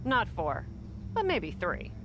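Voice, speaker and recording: A woman's voice: mid-range voice, wildly swooping pitch, takes it slowly. A woman playing a tough, abrupt, ruthless wrestling faction leader in video game cutscenes, speaking in a curt, hard-edged way. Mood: cool, decisive. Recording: game audio with clear background noise.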